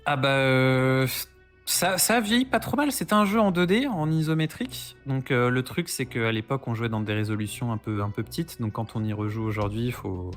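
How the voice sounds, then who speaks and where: A man chats as a guest on a gaming podcast. His mid-pitched voice explains things casually and keenly, over faint background music. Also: expressive, moderate pace. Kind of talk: casual podcast chat about retro video games. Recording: music playing behind the voice.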